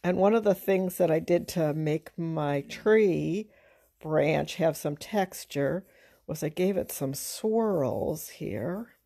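A middle-aged woman giving an informal drawing lesson, thinking aloud in a halting, unhurried, conversational way as she works. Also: low voice, mellow. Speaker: art teacher. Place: indoors at a drawing table.

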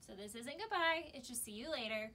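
A woman's voice: a little high, animated, brisk, bright, forceful tone. An American woman in her thirties making a casual video announcement to customers as a shop owner, recorded indoors a few steps from the camera. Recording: background noise, camera from a distance.

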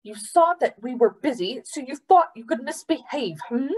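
A woman's voice: medium pitch, sing-song, extremely slow, breathy edge, full character voice. A woman voicing a stern, impatient mother in a storybook, scolding in a snappish manner. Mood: angry scolding. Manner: sharp, scolding.

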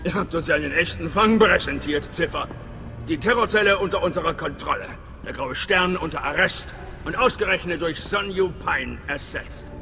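A talkative, self-satisfied male station chief from a sci-fi video game. He is theatrical, fairly high for a man and gravelly, with music behind him in a big echoing space. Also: normal speed, sharp tone. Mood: pleased, effusive. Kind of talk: dramatic sci-fi game dialogue. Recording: long reverberant hall, music behind.

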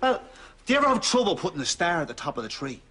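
A man's voice: sits high, sing-song, racing, heavy background noise. An actor playing a cheerful young delivery man giving eager sales patter in a sitcom. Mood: eager, chirpy.

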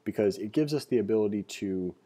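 A man giving a read-along tutorial as an instructor, reading aloud and adding informal asides as he thinks out loud. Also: fairly low pitch, expressive, a little fast. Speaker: programming instructor. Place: indoors, screen-recording voice-over.

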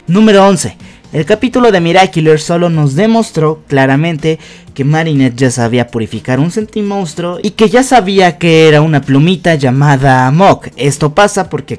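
A man's voice: on the high side, a little mellow. A young adult man hosting a narrated countdown breakdown, explaining conversationally with emphasis. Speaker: YouTube host. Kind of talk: narrated cartoon episode breakdown, countdown list. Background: background music.

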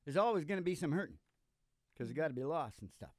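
Older man with a very lively, swooping delivery. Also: a little high, fast talker, dry recording, studio quality. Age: older, under eighty. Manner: folksy, jokey, chuckling. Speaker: podcast host and country musician. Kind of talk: rambling comic philosophical banter. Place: small curtained studio stage. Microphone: stand-mounted vocal microphone.

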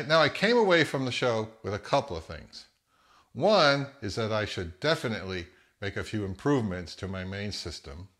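A man's voice: fairly low pitch, fairly bright tone. A man in his fifties with an American accent, talking casually as the host of a vlog. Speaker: host of a hi-fi audio channel.

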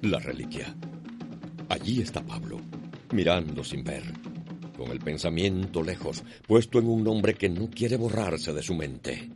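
A Cuban man narrates a radio drama in a low voice. His delivery is solemn and lyrical, rich in drama, with music playing clearly underneath. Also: expressive, measured pace.

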